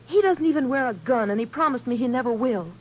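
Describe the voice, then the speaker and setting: An actress playing an anxious, devoted young rancher's wife in a 1950s radio western, earnest and faltering with emotion. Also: female voice, a little high, lively intonation, fast talker, natural voice. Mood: pleading. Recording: dull, noisy 1950s radio recording.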